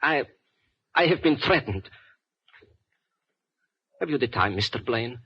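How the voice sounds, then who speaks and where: An actor playing a frightened, formal professor, speaking haltingly in an old radio drama. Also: male voice, medium pitch, lively intonation, breathy edge, heavily acted. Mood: nervous, polite. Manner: halting, formal, urgent speech. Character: frightened foreign professor in his sixties, defecting, formal. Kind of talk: 1950s radio drama acting. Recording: muffled old radio recording.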